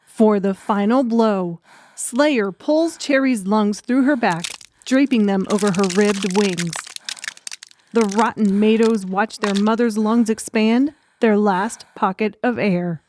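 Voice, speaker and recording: A woman narrates a dramatic audiobook in scripted present tense. Her pitch is average for a woman. There is noticeable noise and some clicking in the recording. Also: slow, darkish tone.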